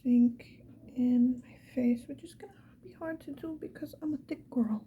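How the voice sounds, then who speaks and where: Female ASMR creator, chatty and informal, mostly whispered, fairly high pitch, slight background noise. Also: moderate pace, phone-quality sound. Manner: casual, chatty, talking to the viewer. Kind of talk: ASMR makeup get-ready-with-me chat.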